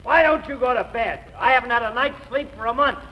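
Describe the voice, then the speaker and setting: An actor playing a sleepy, put-upon lawyer friend with a grumbling, deadpan manner in 1940s radio style. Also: male voice, extremely high pitch, fast talker. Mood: grumpy, sleepy. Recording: muffled, noisy old radio recording.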